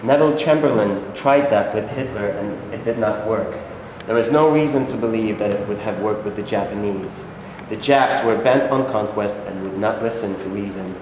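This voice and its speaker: Heavy echo and clear background noise surround the voice. A man acts out a formal army general in a staged reading, on the low side in pitch, deferential yet emphatic. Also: moderate pace, hardly any pauses, close to an everyday voice. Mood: firm. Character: stiff, formal, resolute American army general. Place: live reading in a large echoing room. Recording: very echoey, noisy hall.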